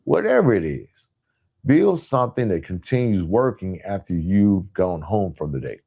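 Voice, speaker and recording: A man's voice: sits low, very animated, normal speed, gravelly, dark tone, breathy edge. A man hosting a podcast, speaking straight to listeners in an emphatic motivational monologue built on rhetorical questions and repeated phrases. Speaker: podcast host.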